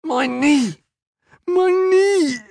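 A man voicing a bitter, hot-headed young fighter in a fantasy audiobook, shouting in anguish. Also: drawn-out words, vocal fry, dry recording, clean studio sound, full character voice. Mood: agonised. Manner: high-pitched anguished shouting. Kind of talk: dramatised fantasy audiobook dialogue.

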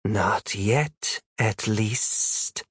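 A man voicing a cool, probing female demon in an audiobook story, with a deep husky voice, a foreign accent and matter-of-fact questioning. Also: drawn-out words, fairly bright tone, no room sound, studio quality, full character voice. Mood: dry, wry.

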